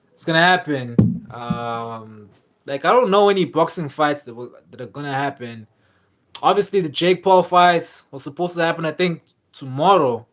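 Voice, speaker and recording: A young man with a slightly high voice, rambling casually through a live stream. He loops back on his words, leans on fillers, and reads viewer comments aloud as he goes. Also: a bit bright. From South Africa. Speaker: livestream host.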